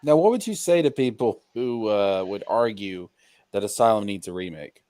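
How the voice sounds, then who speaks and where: A man in casual chat, talking haltingly and repeating words for emphasis. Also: lowish voice, expressive, mellow, dry recording.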